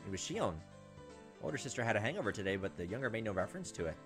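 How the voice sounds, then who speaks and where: Casting: male let's-play narrator, brisk pace, expressive visual novel reading with offhand commentary. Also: fairly low pitch, over music. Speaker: gaming YouTuber reading a visual novel aloud. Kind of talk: expressive narration with casual asides.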